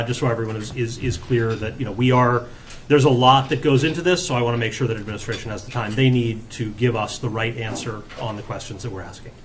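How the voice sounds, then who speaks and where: Man chairing a meeting, halting speech. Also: mid-range voice, fairly bright tone, slight background noise. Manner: halting, many fillers and restarts. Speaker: committee chair.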